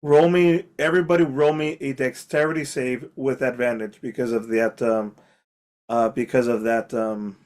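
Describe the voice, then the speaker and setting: Male game master, mid-pitched, unpolished, filler-heavy narration. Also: steady tone, darkish tone. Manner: loose, halting narration with many fillers.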